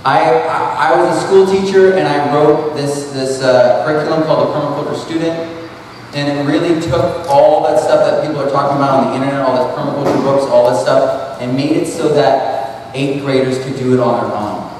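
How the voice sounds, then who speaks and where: A man's voice: mid-range voice, fairly even intonation, fairly quick, a little mellow, distant mic, a little hiss or hum. Earnest man talking in an echoey hall. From New England. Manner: rambling, restarts often, conversational and earnest. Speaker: speaker at an expo. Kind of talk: casual presentation. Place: large hall with audience. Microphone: handheld mic, far camera.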